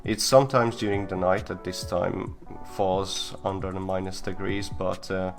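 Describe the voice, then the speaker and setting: Hesitant young man, low voice. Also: level tone, moderate pace, gravelly, music in the background. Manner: hesitant, many fillers and restarts. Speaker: host. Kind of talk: casual live-stream chat.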